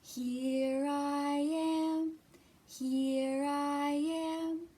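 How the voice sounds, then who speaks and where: A woman's voice: sits high, fairly even intonation, drawn-out words, dark tone, voice pushed up. A woman voicing a small, friendly, cheerful woodland animal puppet in a polite sing-song way for a children's show. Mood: cheerful, cozy.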